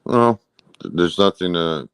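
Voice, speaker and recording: Male interview guest: low register, close dry sound, casual, meandering, defensive, rough everyday wording. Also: measured pace, a bit bright, dry recording. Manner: rambling, peppered with "you know".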